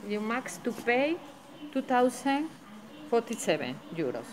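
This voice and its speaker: A woman's voice: a little high, sing-song, slow pace. A woman with a Spain Spanish accent working at a shop, explaining a bill to a customer in Spanish mixed with simple English. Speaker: shop staff. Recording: noisy shop ambience.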